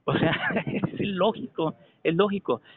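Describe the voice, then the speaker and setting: A Mexican man making a call to argue a point, speaking insistently and haltingly, often repeating phrases for emphasis. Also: high-pitched, wildly swooping pitch, slightly rough. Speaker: caller. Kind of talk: phone complaint, argumentative questioning. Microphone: telephone. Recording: narrow, tinny telephone call recording.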